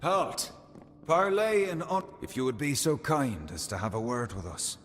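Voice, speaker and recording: A man's voice: medium pitch, big pitch swings, moderate pace, a little hiss or hum. An actor playing a haughty aristocratic fae spokesman in a fantasy video game, formal, flowery and condescending. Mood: imploring. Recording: reverberant hall under loud music.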